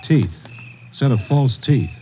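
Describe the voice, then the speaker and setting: An actor playing a brisk, observant American police crime-lab lieutenant, matter-of-fact, in a 1950s radio drama. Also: male voice, mid-range voice, lively intonation. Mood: brisk, focused. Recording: muffled, noisy old radio recording.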